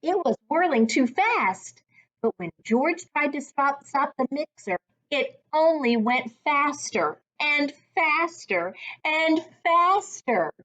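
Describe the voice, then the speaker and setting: A woman reading a children's storybook aloud in an expressive, deliberate storytelling manner, as a reading coach would for young children. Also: sits high, low-quality recording.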